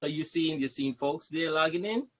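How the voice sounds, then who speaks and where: A man's voice: fairly high pitch, moderate pace. A man hosting a casual live-stream interview, repeating words and phrases for emphasis. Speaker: show host, interviewer. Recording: dull, lo-fi livestream audio.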